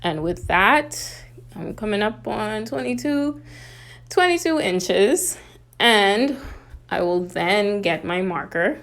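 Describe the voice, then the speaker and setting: A woman's voice: medium pitch, animated, takes it slowly, gravelly, a bit bright. A woman from the Bahamas with a Bahamian accent hosts a casual, chatty how-to craft tutorial, talking through each step.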